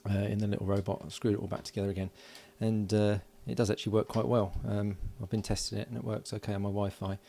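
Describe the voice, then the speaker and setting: A man giving relaxed, matter-of-fact running commentary as he solders at a home workbench, recorded by an overhead camera. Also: lowish voice, fast talker, creaky, few pauses. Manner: mutters along while working, relaxed and matter-of-fact. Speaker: electronics hobbyist. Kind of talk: casual build commentary.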